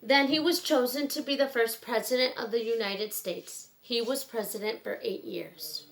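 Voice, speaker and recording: A woman reading a children's book aloud in a simple, measured storytelling manner with pauses. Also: on the high side, vocal fry, fairly bright tone.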